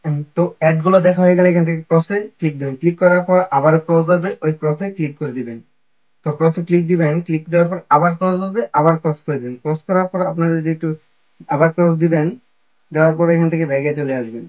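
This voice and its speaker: A man's voice: a little high, steady tone, very fast, darkish tone, muffled recording. A man narrating an app tutorial step by step, in a repetitive, instructional way. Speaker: tech tutorial host.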